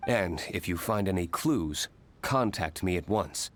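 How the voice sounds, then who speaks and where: A man voicing a guarded, skeptical but quietly considerate investigator in a video game, composed and formal. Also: low voice, lively intonation, measured pace, slight background noise. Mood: reserved, considerate. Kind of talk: scripted video game character dialogue. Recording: music behind the voice.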